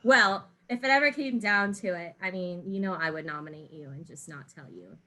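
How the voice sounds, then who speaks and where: A woman's voice: lowish voice, normal speed, sharp tone, rough recording. An American woman hosting a podcast, asking questions in a casual, informal, lightly teasing way. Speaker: podcast host, interviewer. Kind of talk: casual interview chat.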